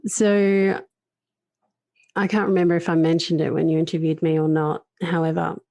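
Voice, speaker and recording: Gravelly-voiced woman podcast host. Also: deep voice, little pitch movement, moderate pace, mellow, dry recording. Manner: earnest, speaks of her own voice loss. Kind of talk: reflective podcast conversation.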